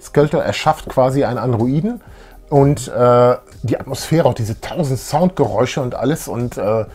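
A man's voice: mid-range voice, normal speed, a little mellow, slightly rough. A man in his thirties chatting casually and enthusiastically as co-host of a retro fan show. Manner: enthusiastic, colloquial. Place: small indoor room full of collectibles.

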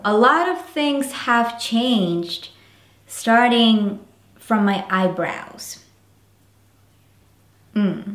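A young woman in her twenties, a native Italian and Russian speaker, talking into the camera in an animated, bubbly way as she introduces her vlog channel from a small room. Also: high voice. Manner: bubbly, animated, careful deliberate delivery. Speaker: vlogger. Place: small indoor room with pastel tassel backdrop. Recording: roomy echo, faint background music.

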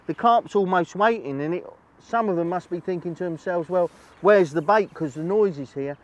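A man's voice: high voice, moderate pace, dull audio. A middle-aged man presents a chatty, instructional fishing demonstration, explaining as he goes and often repeating phrases. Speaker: angling presenter. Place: outdoors on a lakeside bank.

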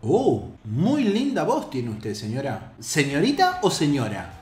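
A man's voice: fairly high pitch, a little slow, roomy sound. A man in his thirties with a Buenos Aires accent, playfully teasing as a prank-calling host. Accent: Buenos Aires Spanish. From Buenos Aires, Argentina. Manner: animated, teasing. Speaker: prank caller, YouTube host. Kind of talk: playful prank phone call banter. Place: small home room. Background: music.

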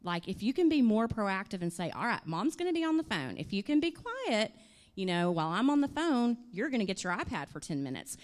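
A woman, presenting at a conference workshop in a hotel room and answering questions in an animated, conversational way through a handheld microphone. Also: medium pitch, brisk. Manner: animated, conversational, uses "you know" and "I mean". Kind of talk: workshop talk with audience questions.

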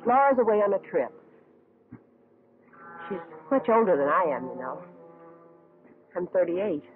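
A woman's voice: lowish voice, very animated, measured pace. An actress playing a haughty, fretful American woman in her thirties, defensive under questioning, in an old-time radio drama. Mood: brittle, rambling. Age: 30s. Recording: muffled tinny vintage radio, faint music.

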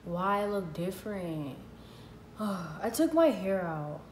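A woman's voice: mid-range voice, sing-song, slow pace, darkish tone, recorded from a distance. A young woman chatting playfully through a clothing haul in her living room, with casual slang. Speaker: vlogger. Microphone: built-in camera mic from across the room. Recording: echoey room, voice off-mic, ambient noise.

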